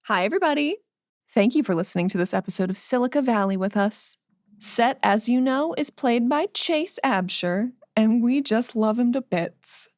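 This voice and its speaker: A woman's voice: on the high side, lively intonation, normal speed, a little mellow, no echo, studio quality. A woman hosting a podcast, giving credits and thanks to listeners. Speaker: podcast host.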